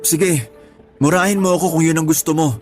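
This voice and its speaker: Pleading young Filipino man, played by an actor, highish voice. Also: brisk, natural voice. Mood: apologetic, pleading. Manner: earnest, pleading. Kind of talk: Tagalog radio drama acting.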